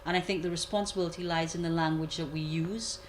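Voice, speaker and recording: A woman commentator giving a measured analysis, repeating key points for emphasis. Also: fairly low pitch, fairly even intonation, a little hiss or hum. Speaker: guest commentator. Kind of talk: analytical discussion.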